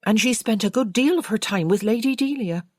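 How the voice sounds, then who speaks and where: A woman's voice: fairly high pitch, animated, brisk, no room sound, clean studio sound, pitched above the performer's own voice. A woman voicing a gossipy, opinionated servant woman with colloquial speech in an audiobook.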